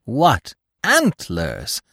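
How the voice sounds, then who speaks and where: A man voicing an excitable, gossipy little male squirrel with a shrill, eager voice. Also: moderate pace, no echo, studio quality. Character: excitable chattering male squirrel, small and nosy.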